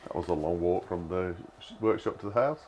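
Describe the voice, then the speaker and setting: A man's voice: low-pitched, brisk, darkish tone, slight background noise. A man off to the side of a live workshop stream, reading out viewer comments and teasing with dry humour. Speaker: co-host reading chat comments. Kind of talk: casual banter off-mic.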